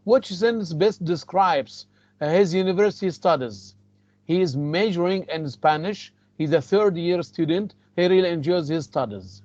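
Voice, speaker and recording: Egyptian teacher, high dry voice. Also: male voice, animated, moderate pace, a little mellow, no echo, dull audio. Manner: switches between English and Egyptian Arabic, repeats phrases. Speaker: English teacher. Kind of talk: classroom lecture.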